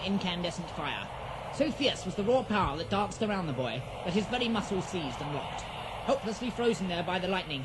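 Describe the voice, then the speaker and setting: High-voiced man narrating dramatic fantasy. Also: slight background noise, low-quality recording. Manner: theatrical, measured storytelling.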